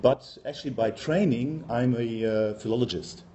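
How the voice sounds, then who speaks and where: A man's voice: medium pitch, moderate pace, dark tone, a little hiss or hum. Hesitant German-accented male lecturer. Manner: hesitant, many ums and uhs. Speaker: university lecturer. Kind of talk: academic workshop presentation.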